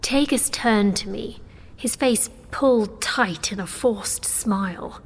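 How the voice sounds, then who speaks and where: A woman's voice: mid-range voice, slow, breathy edge. A woman playing a young, sheltered sorceress princess who earnestly narrates her own story in an audio drama. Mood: narrating, tense. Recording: music behind.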